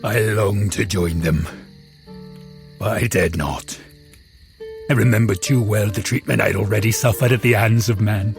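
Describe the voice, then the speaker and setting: Gravelly, slow, wretched creature. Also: male voice, on the low side, music in the background. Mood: sorrowful. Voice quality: gravelly, husky. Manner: brooding, solemn, deliberate. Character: wretched, lonely, eloquent man-made creature telling his tale. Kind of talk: dramatic first-person audio drama narration.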